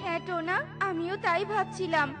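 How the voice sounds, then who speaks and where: A woman voicing a poor, gentle mother bird with a plaintive manner, in a cartoon. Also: extremely high pitch, steady tone, moderate pace, over loud music. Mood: resigned, hopeful. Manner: plaintive, soothing, resigned. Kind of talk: cartoon voice acting.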